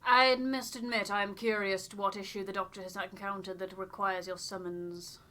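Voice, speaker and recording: Woman playing prim, haughty expedition official, bright voice. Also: mid-range voice, little pitch movement, normal speed, natural voice. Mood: curious, prim. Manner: stiff, formal, haughty.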